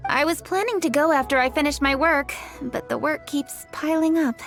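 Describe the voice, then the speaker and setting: A woman's voice: sits high, moderate pace, music in the background. An actress voicing a courteous, dutiful young noblewoman official in a video game, formal and composed. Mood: slightly rueful.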